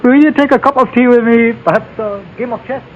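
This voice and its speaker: A man playing a polite, trusting Chinese storekeeper with a Chinese accent in a Western radio drama, speaking in formal, measured phrases. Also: very high-pitched, slight background noise. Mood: polite, puzzled. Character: courteous, trusting older Chinese storekeeper and mine owner. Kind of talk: old-time Western radio drama acting. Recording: muffled old radio recording.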